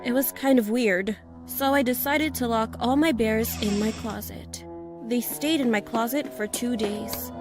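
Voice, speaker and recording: Woman, fairly high pitch, first-person horror narration, very loud background music. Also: unhurried. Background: prominent background music.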